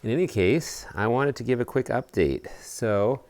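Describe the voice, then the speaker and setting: A man presenting a casual explanatory hobby video update outdoors, talking in deliberate, measured phrases. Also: mid-range voice, lively intonation, a little mellow. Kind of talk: casual explanatory vlog talk. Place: outdoors on a house deck.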